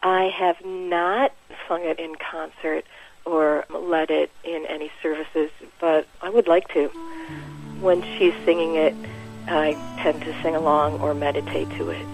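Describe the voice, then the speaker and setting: A musician guest in a radio interview, speaking in a warm, reflective way and laughing easily. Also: medium pitch, little pitch movement, a little slow, soft background music, poor audio. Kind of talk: conversational interview.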